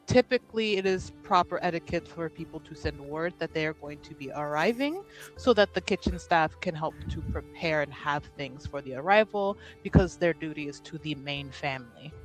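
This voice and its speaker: A woman's voice: low voice, animated, normal speed, soft background music, poor audio. A woman playing a poised, dutiful member of a noble household in a tabletop game, formal and pointedly polite. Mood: politely reproachful.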